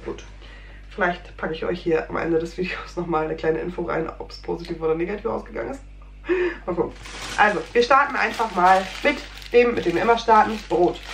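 Female, 30s, casual home vlogger, slightly unwell, rambling and filler-heavy; roomy kitchen sound, soft background hum. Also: medium pitch. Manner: chatty, rambling, many fillers. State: a little under the weather. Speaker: food vlogger. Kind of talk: casual chat. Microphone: fixed camera at desk distance.

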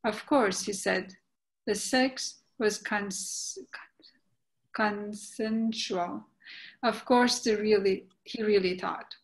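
Woman playing a slow, halting storytelling mother. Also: mid-range voice, rough recording. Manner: halting read, stumbling over words. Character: jolly, outspoken aging mother, storytelling narrator. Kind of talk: scripted verse play reading. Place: indoors on a video call. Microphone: video call.

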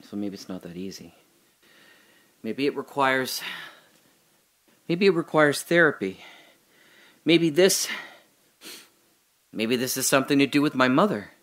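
An actor playing a confused amnesiac man trapped alone in a hole, rambling and swinging between giddy laughter and hushed muttering. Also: medium pitch, expressive, measured pace, pauses often. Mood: hushed worry. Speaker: audio drama lead actor. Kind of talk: solo audio drama monologue.

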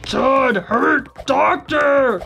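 A man's voice: very high voice, drawn-out words, vocal fry, faint music behind, exaggerated voice. A man voicing a raging, brutish mutant strongman who talks in crude broken speech in a game roleplay. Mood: enraged. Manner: shouting in crude third person. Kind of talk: Roblox roleplay acting.

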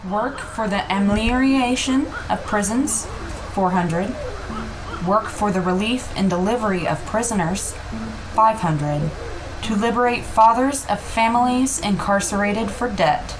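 A woman reading a literary audiobook in a measured, phrase-by-phrase delivery. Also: medium pitch, background noise. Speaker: audiobook reader. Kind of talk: scripted literary narration. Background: background music.

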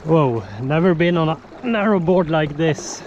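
Slow-talking Swedish man outdoors. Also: fairly high pitch, darkish tone, a little hiss or hum. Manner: slow, cheerful, plain non-native English. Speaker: vlogger host. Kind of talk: casual vlog to camera. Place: outdoors by a lake. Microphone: action camera held at arm's length. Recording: dull outdoor camera audio.

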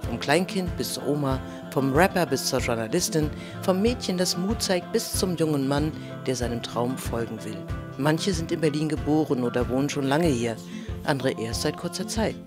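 A woman reading scripted trailer narration in a lively, upbeat way. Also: sits low, normal speed. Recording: voice-over with clear music behind.

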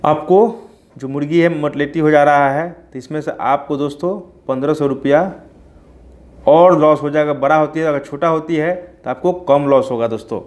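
Higher-pitched man explaining informally. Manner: repeatedly addresses listeners as friends. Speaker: poultry farming video host. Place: small plain indoor room.

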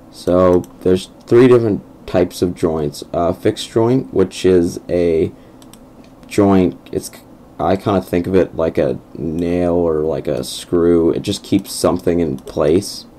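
A young man with a croaky voice narrating a casual game walkthrough over his screen recording, hesitant and full of ums. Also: sits low, dark tone, slight background noise. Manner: hesitant, many ums and restarts. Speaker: gameplay video host. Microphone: computer microphone over screen recording.

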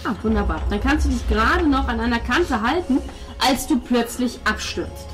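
A woman's voice: a little high, lively intonation, normal speed. A woman, narrating a tabletop role-playing game as game master. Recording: loud music under the table talk. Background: music.

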